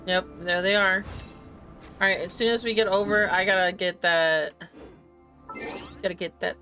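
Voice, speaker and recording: Girl streamer chatting over gameplay, slangy n mumbling to herself, bright voice on the lower side, faint music. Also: fairly even intonation, moderate pace. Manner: slangy, muttering repeated words to herself. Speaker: game streamer. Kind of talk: casual gameplay commentary.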